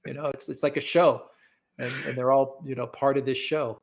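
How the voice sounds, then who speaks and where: A man's voice: medium pitch, level tone, normal speed, creaky. A man talking as a guest on a live stream, rambling, repeating words and laughing often. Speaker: guest commentator. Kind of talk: casual live-stream chat.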